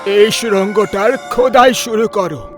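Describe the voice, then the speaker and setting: Man acting a very high-voiced, stern old grandfather. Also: a little slow, faint music behind. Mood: commanding. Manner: authoritative, ordering, dramatic. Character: stern, commanding old grandfather of a village family. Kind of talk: dramatic horror cartoon voice acting.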